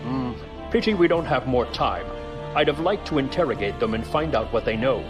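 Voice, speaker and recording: An actor playing a ruthless, coldly calculating military villain in a science fiction audio drama, giving curt commands. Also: male voice, medium pitch, animated, a little fast, over music, natural voice. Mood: cold, commanding.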